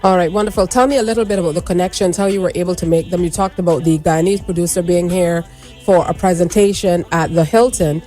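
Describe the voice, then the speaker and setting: Morning radio host, woman, low mellow tone, encouraging interviewer. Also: fairly even intonation, quick pace, faint music behind. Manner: upbeat, encouraging questions.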